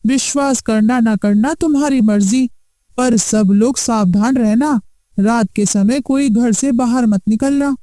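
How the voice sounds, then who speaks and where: A woman voicing a wise, cautious old village grandfather in a cartoon moral story, with a measured, advising manner. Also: fairly high pitch, warm tone, close to an everyday voice. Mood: calm, cautioning. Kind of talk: Hindi animated moral story acting.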